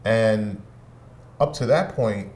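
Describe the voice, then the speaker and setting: A middle-aged man with a New York accent, talking in a fairly low voice. He tells his stories in a loose, easygoing way and says "you know" a lot. A soft hum of room ambience sits behind him. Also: slow. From Brooklyn, New York. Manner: relaxed storytelling, many "you know" fillers. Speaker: music video show host and party promoter. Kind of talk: casual conversation.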